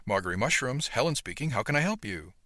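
A man's voice: mid-range voice, big pitch swings, fast talker, bright, forceful tone, no echo, clean studio sound, voice pushed up. A man voicing a polite, helpful female front-desk greeter answering calls in a story.

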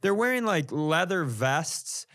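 Animated, high-pitched man ranting on a podcast. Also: slow pace. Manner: exasperated, blunt ranting, swearing. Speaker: podcast co-host. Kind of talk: casual comedic storytelling.

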